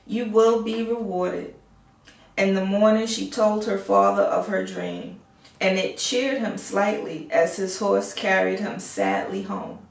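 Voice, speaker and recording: A woman storyteller narrates a fairy tale at an unhurried pace, with an expressive delivery. Her voice has a crackly edge of fry, and it sounds roomy, picked up some way from the microphone. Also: medium pitch. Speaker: storyteller reading aloud. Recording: roomy echo, distant microphone.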